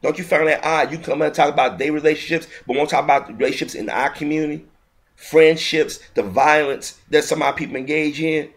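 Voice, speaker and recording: A man's voice: on the high side, fairly even intonation, fast talker. Indignant man ranting on camera. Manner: heated, indignant, repetitive ranting with frequent restarts. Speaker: video commentator. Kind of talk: ranting video commentary.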